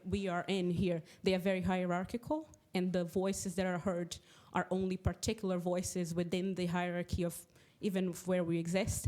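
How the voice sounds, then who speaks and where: Low, flat-voiced woman asking a question. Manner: hesitant, apologetic, searching for words. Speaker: audience member asking a question. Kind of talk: question from the floor.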